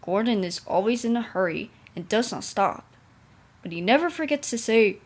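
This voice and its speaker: A woman's voice: fairly high pitch, lively intonation, moderate pace. A young woman reading a children's storybook aloud as its narrator. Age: young adult.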